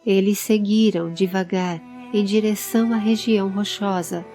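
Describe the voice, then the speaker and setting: A woman's voice: medium pitch, fairly even intonation, normal speed, mellow. A woman narrating a scripted fantasy audio story. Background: background music.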